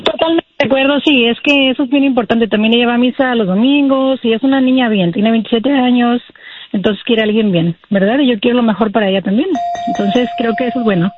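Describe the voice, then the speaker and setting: A woman's voice: a little high, quick pace. A woman chatting casually as a guest caller on a radio show. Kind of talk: casual chat. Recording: dull lo-fi dry radio call.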